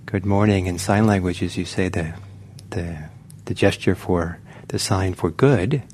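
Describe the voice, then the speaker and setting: A man who is a native Norwegian speaker gives a gentle, halting dharma talk as a meditation teacher, leading an online session with many pauses and fillers. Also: low voice, slight background noise.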